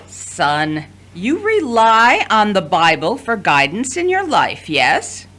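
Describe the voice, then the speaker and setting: A woman's voice: mid-range voice, huge pitch swings, slow, fairly bright tone, full character voice. A woman acting a swooping, domineering mother. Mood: pointed, coaxing. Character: domineering, wry mother of adult children.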